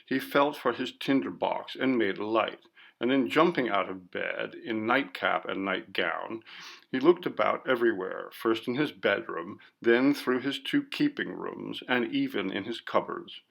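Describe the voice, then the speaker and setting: A man narrating a classic ghost story in a measured, literary storytelling manner. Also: lowish voice, vocal fry, a bit bright. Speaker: audiobook narrator.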